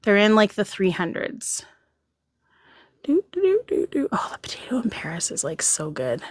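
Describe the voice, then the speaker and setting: A woman's voice: medium pitch, wildly swooping pitch, normal speed, no room sound, in a whisper, studio quality. A woman hosting a casual livestream, rambling, laughing a lot and reading out viewers' comments. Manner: giggly, rambling, reads chat aloud. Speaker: livestream host.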